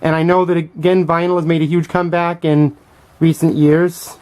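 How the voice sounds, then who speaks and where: Casual middle-aged man, halting and musing. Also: a little high, flat delivery, darkish tone, clean tone. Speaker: vlogger and music collector showing finds. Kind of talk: casual unscripted show-and-tell commentary.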